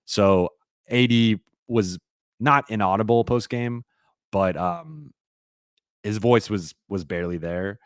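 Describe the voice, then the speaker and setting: A man hosting a live-stream Q&A, talking casually and rambling with lots of fillers and restarts, his voice a little raspy. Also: on the low side, takes it slowly, no room sound, clean studio sound. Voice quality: slightly raspy voice.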